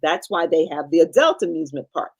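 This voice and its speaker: A woman's voice: deep voice, animated, quick pace, darkish tone, breathy edge. A middle-aged woman speaking as a coach and guest in a podcast interview. She explains in an emphatic, teacherly way and drives her points home through repetition.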